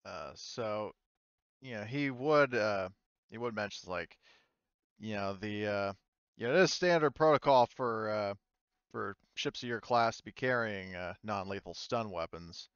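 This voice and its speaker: Low-voiced rambling male game master. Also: a bit bright, clear, resonant tone, no room sound, dull audio. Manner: rambling, halting. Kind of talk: casual tabletop game narration.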